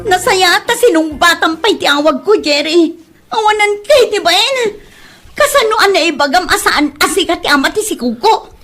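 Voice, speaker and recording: Girl acting as troubled young filipino daughter, really high pleading voice, ilocano style radio drama. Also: lively intonation, moderate pace, a bit bright. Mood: distressed, pleading. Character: meek, distressed young village daughter, Julia.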